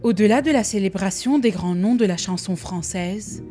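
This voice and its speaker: A woman reporter reading a measured news voice-over. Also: medium pitch, over music. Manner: even, measured narration.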